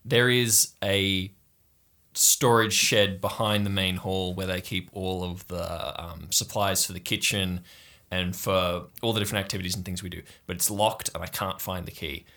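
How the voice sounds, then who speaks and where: A man's voice: sits low, fairly even intonation, moderate pace, pushed and bright. A man voicing a bossy, blunt teenage girl who gives decisive orders at a camp. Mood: commanding, blunt. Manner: decisive, brusque.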